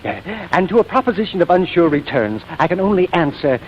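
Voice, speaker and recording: An actor playing a timid, prim valet in 1940s comedy style, formal, hesitant and stammering. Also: male voice, sits high, very animated, a little fast. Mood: hesitant, apologetic. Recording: dull old recording, faint noise.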